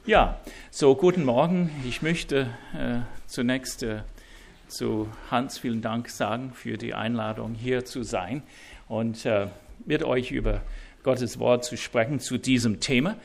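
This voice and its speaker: Guest preacher, male, English-speaking accent, slow and gravelly, stumbling through fillers with a self-deprecating wit. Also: medium pitch, slight background noise. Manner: halting, many fillers and restarts. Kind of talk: sermon introduction. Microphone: wireless mic.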